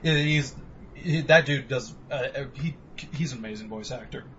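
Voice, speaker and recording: A man's voice: mid-range voice, moderate pace, a bit bright, a little hiss or hum. A young man co-hosting a podcast, rambling in casual, profane chat about video games. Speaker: podcast co-host. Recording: dull lo-fi home recording.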